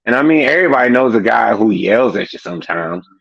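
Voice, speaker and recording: A man's voice: on the low side, lively intonation, fast talker, vocal fry, breathy edge, no room sound. A man in his early thirties talking casually as a livestream guest, loose and slangy, with lots of "like". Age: 30s. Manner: loose slangy talk full of "like". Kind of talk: casual chat. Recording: dull lo-fi remote audio.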